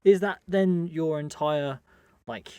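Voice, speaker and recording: A man's voice: a little high, slow pace, mellow, smooth, no room sound. A man chatting casually as a podcast co-host, agreeably chiming in. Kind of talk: casual chat.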